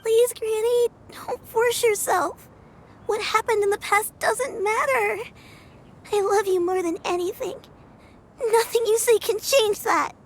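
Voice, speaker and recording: A woman's voice: extremely high pitch, steady tone, measured pace. A woman voicing a young grandchild, speaking in a worried, pleading way. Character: devoted young grandchild, anxious and loving. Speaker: game character. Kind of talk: dubbed game dialogue.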